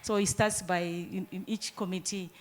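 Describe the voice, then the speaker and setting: A woman's voice: mid-range voice, fairly even intonation, moderate pace, slight background noise. A woman from Zimbabwe commenting from the floor at a conference into a handheld microphone, speaking earnestly and explaining at length with frequent restarts. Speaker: audience participant. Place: conference room.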